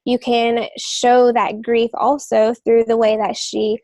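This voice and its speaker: A woman's voice: a little high, little pitch movement, slow pace, darkish tone, no echo. A woman co-hosting a podcast, giving writing advice in an informal, explanatory, conversational way. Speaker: podcast co-host, novelist.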